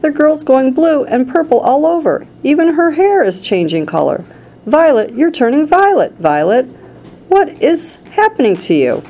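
A woman voicing a panicking mother in a storybook, shrill and screeching with alarm. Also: big pitch swings, moderate pace, few pauses, mellow, a little hiss or hum, lightly acted. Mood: panicked shrieking. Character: shrieking, panicking mother of a girl.

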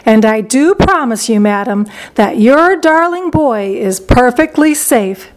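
A woman voicing an eccentric gentleman chocolate maker in a children's story, blithe, mischievous and briskly theatrical. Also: a little high, expressive, low-quality recording. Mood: amused, reassuring.

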